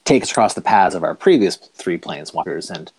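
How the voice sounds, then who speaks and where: Fast-talking low-voiced Maritime Canadian man. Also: animated, darkish tone, husky, no echo. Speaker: comic book writer, podcast guest. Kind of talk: casual interview chat. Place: remote call recording.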